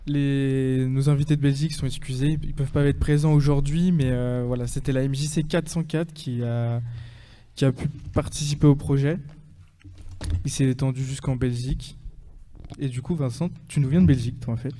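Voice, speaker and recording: A man hosting a radio interview, lively and quick to laugh. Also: medium pitch. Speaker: host.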